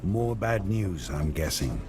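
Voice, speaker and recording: An actor playing a resolute, terse heroic warrior in a video game cutscene, giving stern, clipped replies. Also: male voice, low-pitched, very animated, slow, music in the background, exaggerated voice. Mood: stern, focused.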